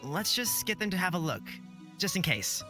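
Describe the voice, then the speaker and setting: A performer voicing a gentle, cheerful young man who keeps the peace in a visual novel. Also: medium pitch, sing-song, normal speed, soft background music. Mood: gentle, upbeat.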